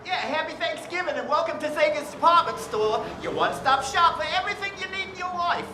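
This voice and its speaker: Folksy 1940s store greeter, male, forties, played by a man: humble, chuckly, animated delivery, roomy noisy recording. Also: extremely high pitch, a little fast, crowd noise. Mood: welcoming. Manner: folksy, chatty, chuckling and self-effacing. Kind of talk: 1940s period radio drama acting. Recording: roomy stage sound, clear background noise.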